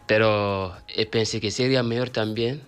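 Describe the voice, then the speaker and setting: A man with a Fula accent, a native Fula speaker, talking as a radio interview guest, halting with frequent restarts and repeated words. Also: lowish voice, fairly even intonation, clean tone. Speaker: guest, author of a Fula learning book.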